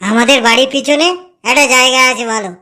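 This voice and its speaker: A mischievous young village lad, as a woman voices him, speaks in a lowered, put-on voice with a crude, teasing edge. He drops to a hushed, eerie tone for spooky tales. It sounds like a cartoon comedy. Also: quick pace. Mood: cheeky. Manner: crude, teasing, eerie when telling ghost talk. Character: cheeky young village man, mischievous drinker.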